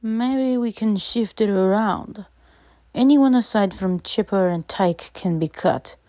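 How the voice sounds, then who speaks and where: Woman playing Glenn, mellow, persuasive show owner. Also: medium pitch, slow, no room sound, close to an everyday voice. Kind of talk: audio drama acting. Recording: dull, dry lo-fi recording.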